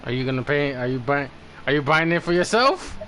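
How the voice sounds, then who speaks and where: A man's voice: a little high, animated, a little fast, fairly bright tone, a little hiss or hum. A man streaming a game with casual, blunt commentary and informal muttered asides.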